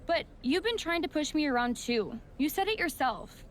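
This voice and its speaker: High-voiced eager rookie wrestler. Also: female voice, lively intonation, moderate pace. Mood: sincere. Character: young female wrestler, admiring, eager, independent-minded rookie. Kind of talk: scripted video game cutscene acting.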